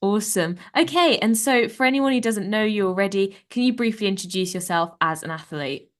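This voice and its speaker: A young woman hosts a podcast, moving from a read-out intro into friendly conversation. Her tone is upbeat and encouraging, and she praises her guest's achievements freely. Her pitch sits in the middle range for a woman. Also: expressive, brisk. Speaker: podcast host. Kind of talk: podcast interview, scripted intro then chat.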